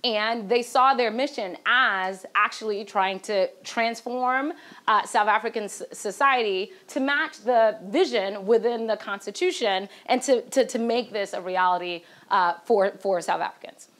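A woman's voice: mid-range voice, measured pace, fairly bright tone. A woman law professor on a panel, speaking to an audience in a lecture hall with many fillers and "right?" tags, picked up by a far camera.

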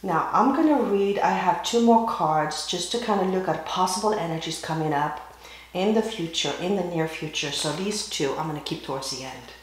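Hoarse woman reading tarot in echoey room. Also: lowish voice. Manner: halting, clears throat and coughs often. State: hoarse, losing her voice. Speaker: tarot reader. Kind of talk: pick-a-card tarot reading. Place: indoors at a table with candles and crystals.

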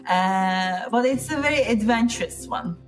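Woman, Turkish accent, interview guest, casual and hesitant, slow pace, faint music behind. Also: fairly high pitch. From Turkey. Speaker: interview guest, piano teacher.

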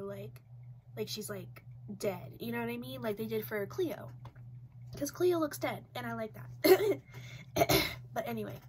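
A woman's voice: fairly high pitch, a little hiss or hum. A young woman in her twenties with a stuffy, congested voice from a cold, chatting casually and rambling with lots of fillers while reviewing dolls in her bedroom. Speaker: doll collector vlogger. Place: small decorated bedroom, indoors.

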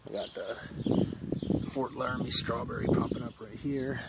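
A man's voice: medium pitch, a little slow, creaky, heavy background noise. A man giving a casual, rambling walk-through of his backyard garden, talking into a handheld camera as he walks. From Iowa. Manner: relaxed, rambling, many fillers. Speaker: permaculture vlogger. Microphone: handheld camera mic while walking.

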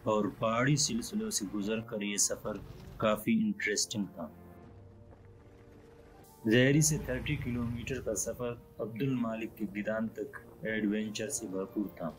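A man with a lowish voice narrates an outdoor travel vlog in a calm, even, scripted voice-over. Soft music plays quietly behind him. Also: measured pace, low-quality recording. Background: soft background music.

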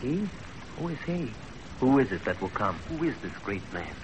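An actor playing an eager, awed young student comrade in a 1930s radio drama on an old recording. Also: male voice, mid-range voice, sing-song, normal speed, noisy background, close to an everyday voice. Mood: awed, questioning.

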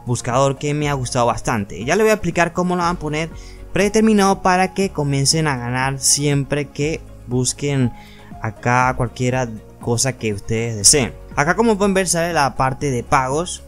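A man's voice: mid-range voice, lively intonation, normal speed. A man with a Venezuelan accent narrating an informal screen tutorial, explaining step by step and addressing viewers as friends. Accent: Venezuelan Spanish. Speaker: tutorial channel host. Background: background music.

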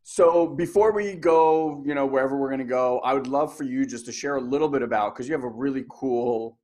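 Young man hosting with excited energy. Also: mid-range voice. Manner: excited, says "like" a lot, talks fast when keen. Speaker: host. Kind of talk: enthusiastic webinar hosting.

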